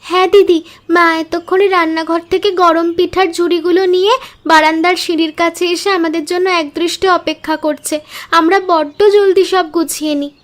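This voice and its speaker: Very high, excitable little girl done by a woman. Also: brisk. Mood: delighted. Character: dreamy, delighted little village girl. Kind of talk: cartoon story dialogue.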